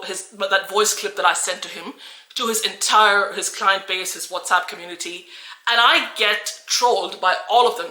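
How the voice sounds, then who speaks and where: A woman's voice: mid-range voice, sharp tone, echoey room, rough recording. A woman who teaches English, telling a personal story to camera in an earnest, emphatic way. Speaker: English teacher and channel host.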